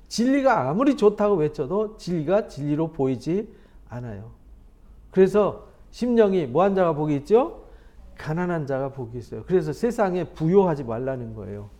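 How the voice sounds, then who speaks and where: A middle-aged man from South Korea teaching a Bible study as pastor, chatty and animated, asking rhetorical questions. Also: on the high side.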